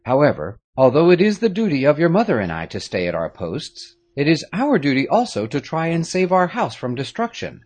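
A man's voice: on the high side, wildly swooping pitch, fairly quick, few pauses, a little mellow, voice pushed up. A man voicing a stern, commanding aristocratic French father in an audiobook, speaking firmly. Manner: firm, authoritative. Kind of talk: audiobook character reading.

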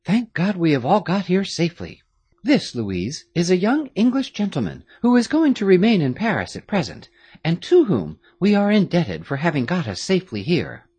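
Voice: medium pitch, huge pitch swings, normal speed, husky, pitched above the performer's own voice. A narrator voicing a composed young noblewoman. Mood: earnest. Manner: earnest, gently correcting.

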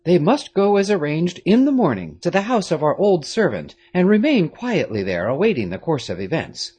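Stern old-world nobleman dad giving orders, read by a guy, husky, pitch swinging all over. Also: sing-song, normal speed, a little mellow. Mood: commanding. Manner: firm, authoritative. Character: stern aristocratic French father, commanding. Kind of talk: audiobook character reading.

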